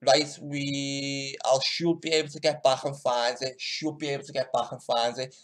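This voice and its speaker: A man's voice: mid-range voice, flat delivery, moderate pace. A man hosting a live stream, talking over a news broadcast with scornful, crude commentary.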